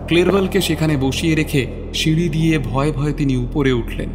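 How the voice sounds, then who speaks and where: A man's voice: a little high, fairly quick, noisy background. A man narrating a scripted story as a dramatic narrator.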